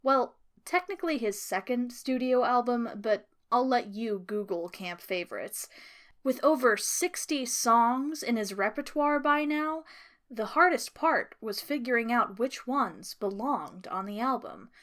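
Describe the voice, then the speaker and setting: A woman's voice: on the high side, unhurried. A woman in her twenties hosting a podcast, reading scripted narration and chatting casually with guests. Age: 20s. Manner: relaxed, laughs often, filler words in chat. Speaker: podcast host.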